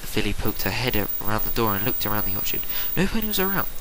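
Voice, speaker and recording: A man's voice: fairly low pitch, very animated, very fast. Theatrical man narrating, with noticeable hiss. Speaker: reader of fan fiction. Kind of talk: amateur dramatic story narration. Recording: clearly hissy home recording.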